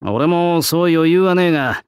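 An actor playing a gruff swordsmith, an old soul in a young man's body, with blunt old-fashioned artisan speech in a game. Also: a little high, moderate pace, dry recording, studio quality, natural voice. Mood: gruff, reflective. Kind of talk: Japanese game character voice lines.

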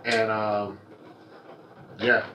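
A man's voice: fairly low pitch, flat delivery, extremely slow, pushed and bright. A young American man chatting casually as a vlogger, explaining things in a rambling way. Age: young adult. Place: small living room, on a couch. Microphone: camera mic a few feet away. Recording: roomy echo, noisy camera audio.

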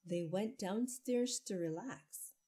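Slow-paced, mellow woman reading a storybook. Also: mid-range voice. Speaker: children's story reader. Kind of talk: read-aloud storybook narration.

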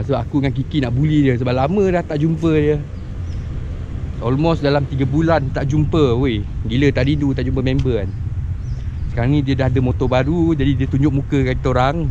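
Chatty young Malaysian motovlogger outdoors. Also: male voice, medium pitch, mellow, dull audio. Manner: chatty, laughs often, enthusiastic about bikes. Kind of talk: casual vlog commentary. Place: outdoors in a leafy park road, beside motorbikes. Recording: outdoor wind and engine noise.

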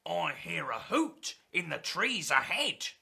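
A greedy Gruffalo monster speaks in a drawn-out, theatrical storybook voice, done by a woman reading aloud. The voice is low and bright, with a husky edge. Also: big pitch swings, full character voice. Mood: hungry.

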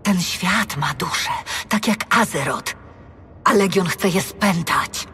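A woman's voice: fairly low pitch, takes it slowly, sharp tone, a little hiss or hum, exaggerated voice. A woman playing a determined, brave elven archer in a fantasy audio drama. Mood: shaken.

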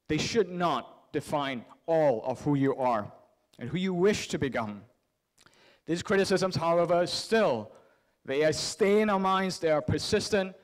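A man in his thirties giving an earnest motivational psychology keynote at a podium microphone, phrasing deliberately with repetition and rhetorical questions. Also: sits high. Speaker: keynote speaker. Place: large convention hall, on stage at a lectern.

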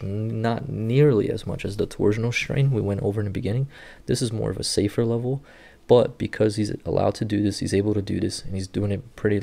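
Gravelly low-voiced man explaining rehab clips. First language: Portuguese. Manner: steady, instructive walk-through of clips. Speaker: sports rehab clinician. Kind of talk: explanatory video commentary. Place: indoor room.

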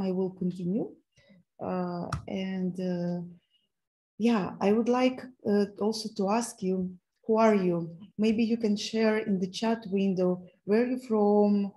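A woman in her thirties with a Ukrainian accent hosts an online workshop from her laptop on a video call. Her voice is mellow and warm, and she speaks haltingly, with frequent fillers and restarts. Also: mid-range voice. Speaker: host of an online learning event. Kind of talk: hosting a video call presentation. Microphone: laptop mic on a video call.

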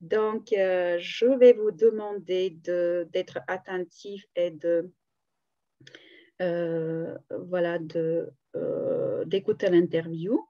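A woman's voice: lowish voice, fairly even intonation, very slow, mellow. A woman teacher leading an online class over a video call, explaining patiently with many fillers. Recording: dull lo-fi call audio.

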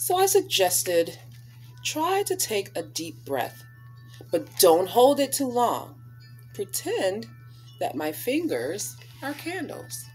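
A woman in her thirties reading aloud to children as a storyteller, with deliberate, dramatic emphasis on key words. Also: mid-range voice, very animated, darkish tone, soft background music. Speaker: storyteller reading a picture book. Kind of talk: expressive read-aloud for children. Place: small living room, indoors.

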